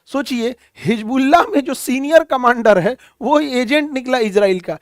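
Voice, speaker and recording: A man's voice: extremely high pitch, no room sound. Guy teaching current affairs like hes telling a story, repeats stuff for emphasis, really dry sound. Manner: colloquial, storytelling, repeats key phrases for emphasis. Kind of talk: explanatory current-affairs lecture.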